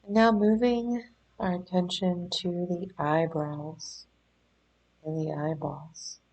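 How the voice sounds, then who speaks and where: A woman leading a guided meditation in a gentle, soothing, unhurried manner, leaving pauses between phrases. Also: fairly low pitch, steady tone, rough recording. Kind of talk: guided body scan meditation.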